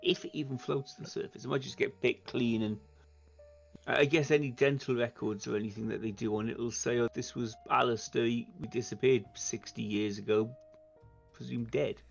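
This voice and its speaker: A man's voice: medium pitch, fairly even intonation, normal speed, creaky, soft background music. A man talking casually as a player in a tabletop roleplaying game, planning the group's next move. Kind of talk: casual planning talk, partly in character. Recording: slightly dull, band-limited sound.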